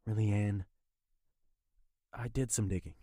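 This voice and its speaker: Woman playing husky, deep-voiced, pleading young male ex-lover. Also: steady tone, unhurried, dark tone, no room sound, studio quality, heavily acted. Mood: urgent, warning. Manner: intense, urgent, pleading. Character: earnest, wounded young man, a pleading jilted former lover. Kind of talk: dramatic audio drama acting.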